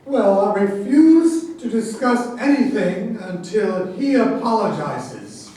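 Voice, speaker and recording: A man's voice: high voice, very animated, slow, mellow, exaggerated voice. A man playing a touchy, self-important army general in a staged reading of a satirical play, argumentative and accusing. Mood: prickly. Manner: pompous, argumentative. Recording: very echoey room, distant mic.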